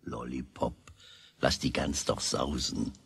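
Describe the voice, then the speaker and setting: Gruff shopkeeper, extremely deep raspy voice, slow, blunt, children's radio play. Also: male voice, flat delivery, a bit bright, dry recording, exaggerated voice. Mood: blunt advice. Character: gruff, blunt male shopkeeper giving advice.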